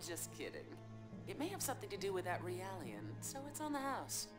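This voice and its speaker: An actress playing a confident, playful female informant in a game cutscene, brisk, assured and teasing. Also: mid-range voice, huge pitch swings, over loud music. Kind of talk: video game cutscene dialogue.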